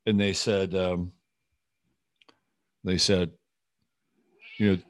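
A man's voice: low-pitched, level tone, drawn-out words. Sardonic, rambling male talk host. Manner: rambling, sardonic, skeptical, profane. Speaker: host of a commentary show. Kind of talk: unscripted political commentary monologue.

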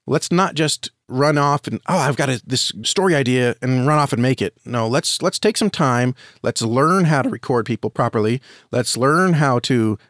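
A man's voice: medium pitch, animated, moderate pace, few pauses, a bit bright, dry recording, clean studio sound. An American man giving earnest, enthusiastic conversational answers as a guest in an interview. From United States. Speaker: podcast guest, audio drama reviewer and podcaster.

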